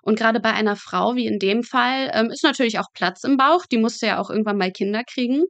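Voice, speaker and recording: A young woman with a fairly high voice talks as an interview guest. She recounts her student experiences frankly and vividly, in a matter-of-fact conversational way. The sound is close and dry. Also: fairly quick, fairly bright tone, no echo. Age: 20s.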